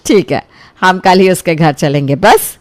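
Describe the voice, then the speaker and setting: A woman's voice: fairly low pitch, a little slow, dry recording, pitched below the performer's own voice, lightly acted. A woman voicing a fussy, demanding but generous mother in a storybook tale, exclaiming and bossy. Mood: approving. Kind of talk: animated storybook dialogue.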